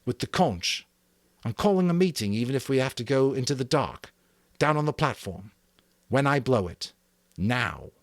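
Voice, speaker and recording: A man's voice: mid-range voice, animated, normal speed, no room sound, studio quality, voice pushed up, close to an everyday voice. A man voicing a resentful boy leader giving firm, clipped orders in an audiobook reading.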